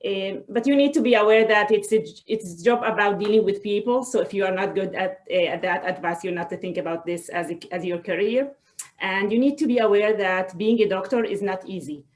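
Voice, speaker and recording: A woman, giving a personal talk in a webinar in a halting, hesitant way with frequent pauses. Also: mid-range voice. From Israel. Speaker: guest speaker, doctor. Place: online video call.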